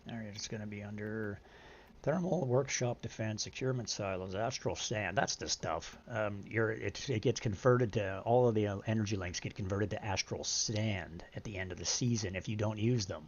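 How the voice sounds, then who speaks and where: A middle-aged man with a deep voice streaming a game, chatting casually, explaining patiently and reading menu items aloud. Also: normal speed, highs cut off, slight background noise. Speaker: live streamer. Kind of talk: casual gaming stream chat.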